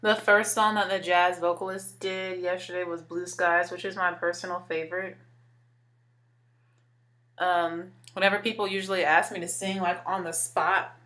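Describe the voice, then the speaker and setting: Chatty young woman with a lowish voice. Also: flat delivery, normal speed, pushed and bright. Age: 20s. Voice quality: deeper voice for a woman. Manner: chatty, filler words, talks while applying makeup. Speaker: beauty vlogger. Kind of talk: casual makeup tutorial chat.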